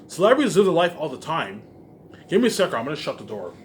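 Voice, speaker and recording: A man's voice: a little high, sing-song, fast talker. A man rambling casually to viewers in an emphatic, repetitive, profane way, leaning on the same verbal tags. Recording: faint laundry-machine background noise.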